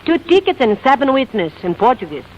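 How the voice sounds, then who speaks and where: An actress playing a brash, bossy maid in 1940s comedy style, wisecracking in exclamatory broken English. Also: female voice, a little high, very animated, fairly quick, darkish tone. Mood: bossy, excited. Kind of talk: 1940s musical comedy acting. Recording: dull old recording, faint noise.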